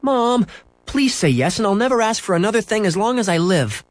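Storybook young boy, played by a man: raised pitch, eager and pleading, very lively swoops. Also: brisk, no echo. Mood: pleading, earnest. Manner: earnest, pleading. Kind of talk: children's storybook character voice.

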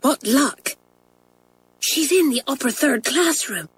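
A woman voicing a bold, impulsive schoolgirl in a storybook, with a brisk, forthright manner. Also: medium pitch, very animated, lightly acted. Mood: excited.

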